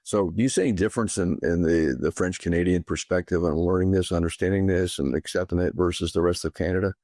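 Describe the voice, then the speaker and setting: Deep-voiced male podcast host. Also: fairly even intonation, fast, dark tone, dry recording, studio quality. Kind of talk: casual interview talk.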